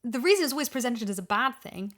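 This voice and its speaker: A woman in a casual discussion, explaining her opinions in an animated way. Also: on the high side, fast talker. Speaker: podcast guest, audio drama voice actor.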